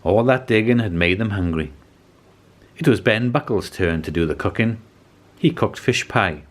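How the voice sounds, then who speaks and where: A man reading a children's story aloud as an expressive, deliberate narrator. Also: lowish voice. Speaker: storybook reader.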